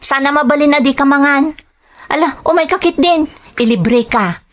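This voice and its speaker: A woman's voice: sits high, moderate pace, lightly acted. A woman playing a friendly young female student in an audio drama, perky and playfully insistent.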